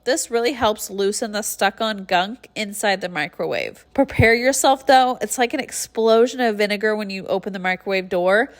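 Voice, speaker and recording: A congested young woman with a nasal, stuffy voice, chatting casually through a cleaning tutorial voice-over and breathing heavily. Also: mid-range voice. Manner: friendly and chatty, with light laughs and heavy breathing. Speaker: cleaning channel host.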